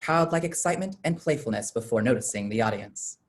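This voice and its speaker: A woman's voice: extremely low pitch, animated, brisk. A woman reading stage directions as scripted narration for a staged play.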